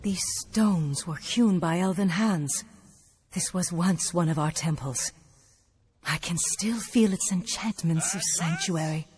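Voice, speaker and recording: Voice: medium pitch, takes it slowly, a little mellow, lightly acted. An actor playing a solemn, mystical elf in a theatrical fantasy game style, with music over the voice. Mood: reverent.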